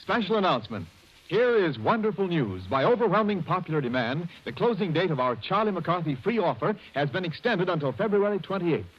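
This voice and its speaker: A man's voice: high-pitched, big pitch swings, brisk, breathy edge. A man announcing a 1930s radio advertisement in an emphatic, salesmanlike way. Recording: dull vintage radio recording.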